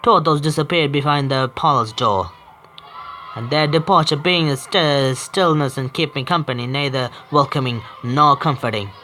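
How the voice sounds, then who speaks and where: Man streamer, reading game text aloud, stumbling and self-correcting, very bright voice. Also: fairly high pitch, normal speed, soft background music. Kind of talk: reading a visual novel aloud.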